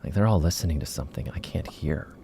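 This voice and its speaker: A man's voice: rumbling low, quick pace, creaky, dark tone, slight background noise. A man playing an uneasy paranormal investigator, narrating his story confidingly in an audio drama. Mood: uneasy, suspenseful. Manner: confiding, suspenseful. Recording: faint music under narration.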